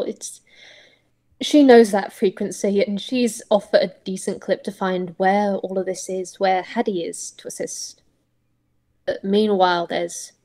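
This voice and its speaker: A woman improvising story narration for a roleplay, in reflective, halting phrases. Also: medium pitch, a little mellow.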